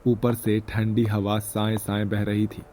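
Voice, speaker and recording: A man's voice: fairly low pitch, completely flat delivery, unhurried, dark tone, slight background noise. A man narrating a scripted moral story in Hindi storytelling style.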